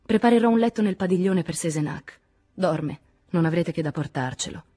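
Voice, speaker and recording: A pragmatic, weary woman played by a female audiobook reader with a husky voice. She reasons firmly, with a brusque edge. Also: lowish voice, moderate pace, warm tone, pitched above the performer's own voice. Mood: subdued. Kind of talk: dramatic audiobook character acting.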